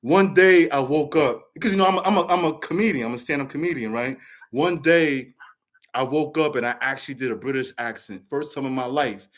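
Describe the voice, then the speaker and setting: A man hosting a casual interview, a stand-up comedian, talking in an animated, chatty way. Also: medium pitch, brisk. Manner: animated and chatty, laughs easily.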